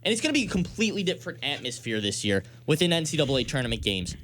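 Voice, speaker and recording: Animated American man hosting sports talk. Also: fairly high pitch, very fast, a little hiss or hum. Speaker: sports radio talk show host.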